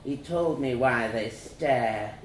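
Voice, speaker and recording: A man's voice: medium pitch, takes it slowly, echoey room, lightly acted. A man voicing a frightened, naive little boy with a small, whimpering voice in a told childhood story. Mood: fearful.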